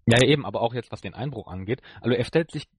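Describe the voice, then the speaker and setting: A man co-hosting a podcast in casual chat about an audio drama, talking haltingly as he thinks aloud. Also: medium pitch, lively intonation, breathy edge, dry recording. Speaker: podcast co-host.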